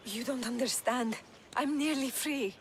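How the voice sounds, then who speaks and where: A woman's voice: a little high, unhurried, a little hiss or hum. An actress playing an anxious, reluctant enslaved shop woman of ancient Greece in a video game. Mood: wary, pleading. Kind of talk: video game cutscene acting.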